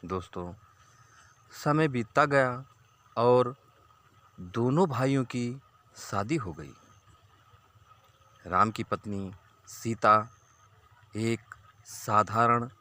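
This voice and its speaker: A man narrating a scripted moral story to listeners as a storyteller, in a deliberate, halting, phrase-by-phrase delivery. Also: mid-range voice, sharp tone.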